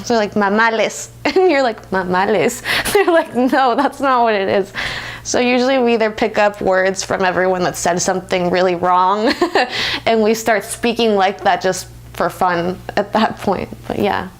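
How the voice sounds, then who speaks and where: Young American woman with a creaky voice. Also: mid-range voice, measured pace. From United States. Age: 20s. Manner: informal, often laughs while talking. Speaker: interviewee. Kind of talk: reflective, conversational personal interview.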